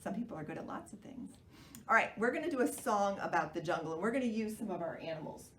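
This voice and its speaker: A woman's voice: mid-range voice, animated, fast talker, fairly bright tone, a little hiss or hum. A woman leading a children's storytime, reading expressively and putting questions to her young listeners. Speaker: children's library storytime reader. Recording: roomy echo, far from the mic.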